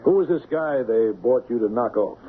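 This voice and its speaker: An actor playing a shrewd, persistent police lieutenant in an old radio crime drama, with a dry, probing manner. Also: male voice, mid-range voice, expressive, normal speed, close to an everyday voice. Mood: pressing, tough. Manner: dry, probing, hesitant lead-ins. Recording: narrow, noisy old radio broadcast.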